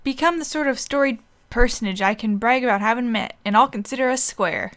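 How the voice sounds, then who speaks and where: Woman putting on a folksy, high-voiced travelling merchant. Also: fairly quick, fairly bright tone, lightly acted. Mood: folksy, friendly. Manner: folksy colloquial speech in a put-on accent. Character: chatty folksy travelling merchant, friendly and worldly. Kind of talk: reading game dialogue aloud in character. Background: game music.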